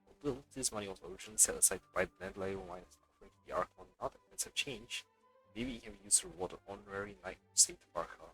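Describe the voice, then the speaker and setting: A man's voice: mid-range voice, huge pitch swings, rapid-fire, creaky, sharp tone, faint music behind, rough recording. A man doing a live game playthrough, reading dialogue aloud and adding casual offhand comments. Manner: casual offhand remarks mixed with read-out dialogue. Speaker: game streamer.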